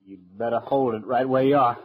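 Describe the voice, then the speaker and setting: An actor playing a cocky, menacing young cowboy gunslinger in 1950s radio western style, with a taunting drawl. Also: male voice, mid-range voice, steady tone, brisk, narrow, tinny audio, lightly acted.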